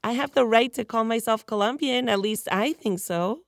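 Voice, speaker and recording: A woman's voice: fairly high pitch, moderate pace, over loud music. A woman, a reporter narrating a podcast story in a candid, personal way. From United States (Miami). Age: 30s.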